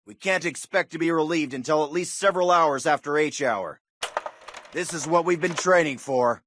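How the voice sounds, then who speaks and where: An actor playing a confident American army officer, giving an authoritative, orderly briefing to paratroopers in a video game. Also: male voice, a little high, moderate pace, fairly bright tone. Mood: firm, businesslike. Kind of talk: military mission briefing, scripted game acting.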